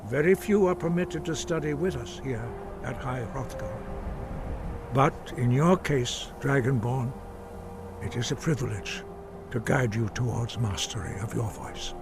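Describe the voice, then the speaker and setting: An actor playing a solemn old monk master in a video game, teaching in a measured, formal and reverent way. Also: male voice, mid-range voice, lightly acted. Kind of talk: scripted video game dialogue. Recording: game music loud behind. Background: game music.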